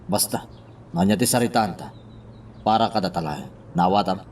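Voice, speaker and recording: A man's voice: sits low, lively intonation, moderate pace, a little mellow. An actor playing a scheming nephew who gives orders to his uncle in a radio drama. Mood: conspiratorial. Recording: distant from mic, faint noise.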